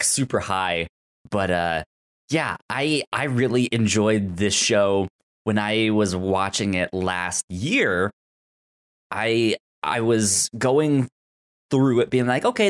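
A man hosting a podcast in casual TV review chat, with a halting, stammering delivery that often repeats words. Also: lowish voice. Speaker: podcast host.

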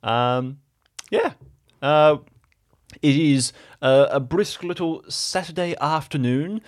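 A man's voice: fairly high pitch, takes it slowly. A man co-hosting a show in casual, unscripted banter, with a wry, self-deprecating manner. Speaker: podcast co-host and improv voice actor.

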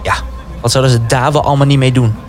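A man's voice: mid-range voice, steady tone, fast talker, faint music behind, close to an everyday voice. An actor playing a young man in a wartime radio drama, a sceptical and dutiful brother who is dry and reluctant.

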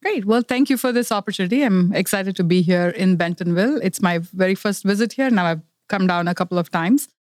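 A woman's voice: medium pitch, a little fast, hardly any pauses, darkish tone, no echo, studio quality. A middle-aged woman from India, a startup founder explaining her work earnestly in a podcast interview. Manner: earnest, explanatory, with frequent fillers.

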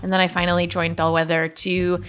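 A woman from the United States hosting a podcast, reading scripted narration in a clear, upbeat way. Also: lowish voice, little pitch movement, brisk, a bit bright, soft background music. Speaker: podcast host.

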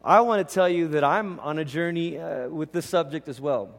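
High-pitched man preaching in a reverberant hall. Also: normal speed, vocal fry. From Malaysia. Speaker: pastor preaching. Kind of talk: conversational teaching sermon. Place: large indoor church hall.

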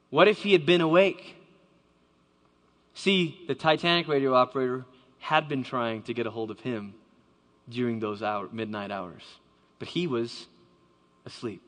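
A man's voice: mid-range voice, a bit bright. A man giving a dramatic storytelling talk to a live audience, acting out the voices he quotes. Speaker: speaker. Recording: long hall reverb.

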